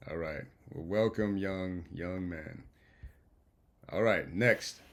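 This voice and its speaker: A man leading an online class, welcoming students and teasing them with a laugh. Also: sits low. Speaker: program instructor.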